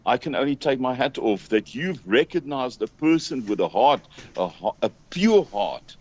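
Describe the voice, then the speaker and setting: A South African man talking earnestly as an interview guest, emphatic but halting in his delivery. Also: medium pitch, sing-song, a little mellow. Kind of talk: earnest conversational talk. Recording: dull lo-fi call audio.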